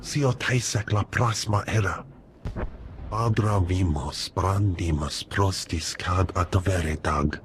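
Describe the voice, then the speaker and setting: A male alien informant in a video game, his voice very low and his alien speech slow and chanting. A faint hiss runs under it. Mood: alien chatter. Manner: unhurried, chanting alien gibberish.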